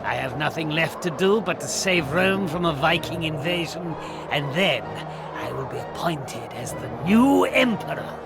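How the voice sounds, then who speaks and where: An actor playing a scheming, vengeful Roman senator in a cartoon game, with a theatrical, gloating delivery. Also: male voice, a little high, animated, unhurried, creaky, over loud music. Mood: smug, scheming. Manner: theatrical, gloating, prone to shouting.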